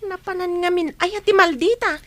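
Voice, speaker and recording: Casting: actress for outspoken young big sister, radio play; high, crisp-edged bright voice, protective and kindly. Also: female voice, brisk, no room sound. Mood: indignant, kind. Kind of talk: radio drama acting.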